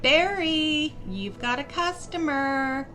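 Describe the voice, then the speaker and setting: A woman's voice: sits high, expressive, slow, bright, forceful tone, faint music behind, voice pushed up, heavily acted. A woman voicing a nonchalant, assured female spa receptionist in a fantasy story. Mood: cheerful calling. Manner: casual, assured.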